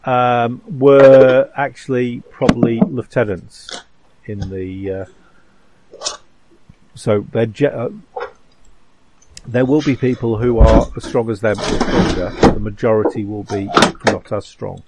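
A man running a tabletop game as game master, talking casually in a halting, rambling way. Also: mid-range voice, fairly even intonation, very noisy.

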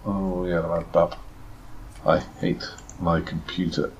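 Man, low voice, halting, restarts often, dry self-mocking humour, game master, dull lo-fi sound. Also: flat delivery, a little hiss or hum. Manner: hesitant, many restarts and fillers, dry self-deprecating humour. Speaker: game master and podcast co-host. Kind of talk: casual chat and tabletop game setup. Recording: slightly dull lo-fi home recording.

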